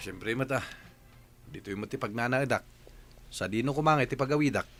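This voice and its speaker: A man's voice: medium pitch, lively intonation, normal speed, fairly bright tone, soft background music. A man with a Filipino accent playing a fed-up, evasive boyfriend in a radio drama, irritated and defensive. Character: Rolly, fed-up, evasive, spendthrift young boyfriend. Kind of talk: Philippine radio drama acting.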